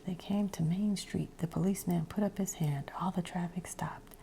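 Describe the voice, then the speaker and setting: A woman reading a children's picture book aloud in a soft whisper, adding her own asides and changing some lines as she goes. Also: on the low side, steady tone, normal speed, creaky, slight background noise.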